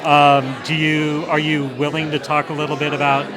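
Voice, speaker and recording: A man's voice: on the high side, moderate pace, music in the background. A man hosting a talk show interview at a party, eager and chatty. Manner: eager, chatty, easily excited. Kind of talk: event interview chat. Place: busy indoor party. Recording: crowd noise of a busy party. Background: crowd chatter.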